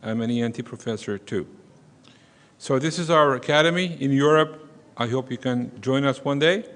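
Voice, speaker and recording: A middle-aged man from Turkey with a Turkish accent, giving a measured, explanatory conference lecture as a surgeon. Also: a little high, a bit bright. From western Turkey. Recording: long reverb of a conference hall.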